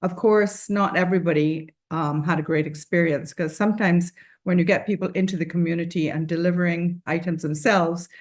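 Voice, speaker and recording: A woman's voice: fairly low pitch, steady tone, fairly quick. A woman, speaking on a panel as a charity founder, earnest and rambling with many fillers and restarts. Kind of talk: casual panel discussion.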